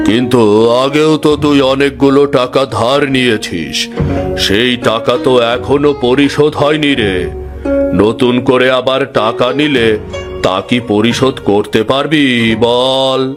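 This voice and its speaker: A man voicing a stern, wary village headman and moneylender in a cartoon story, speaking deliberately and with warning. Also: mid-range voice, natural voice. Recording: loud music under the voice.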